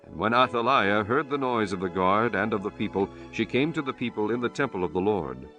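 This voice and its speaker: A man with a fairly low voice narrates scripture for an audiobook. His delivery is solemn, formal and measured, with faint music underneath. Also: expressive, pushed and bright, muffled recording.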